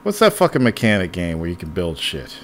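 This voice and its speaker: A man's voice: low voice, huge pitch swings, a little fast, slight background noise. A man hosting a casual podcast chat, blunt and exasperated, swearing as he talks. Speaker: podcast host.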